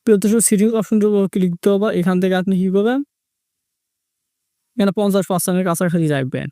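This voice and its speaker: A man with a high voice walks viewers through a tutorial step by step. His delivery is fast and repetitive in its instructions, and the recording is dry and close. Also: warm tone, dry recording. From Bangladesh. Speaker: tech tutorial host. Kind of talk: step-by-step screen tutorial narration.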